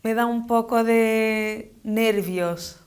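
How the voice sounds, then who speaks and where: A young woman with a non-native Spanish accent answering interview questions in a hesitant, measured, conversational way. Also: fairly high pitch, fairly even intonation, a little mellow. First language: not Spanish, speaks it as a second language. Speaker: interview guest, model and actress.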